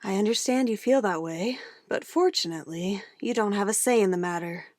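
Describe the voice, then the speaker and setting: A woman's voice: mid-range voice, brisk, a little mellow, no room sound, clean studio sound, natural voice. A woman playing an obsessive, controlling witch in an ASMR roleplay, a sweetly menacing lover who moves between coaxing endearments and firm commands. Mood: insistent, triumphant.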